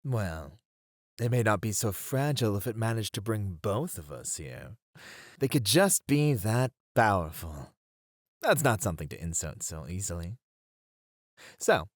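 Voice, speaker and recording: A performer voicing a flirty, playfully mocking demon in an ASMR roleplay, with a drawn-out, purring delivery. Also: medium pitch, a little mellow, dry recording, clean studio sound, lightly acted. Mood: mocking, amused.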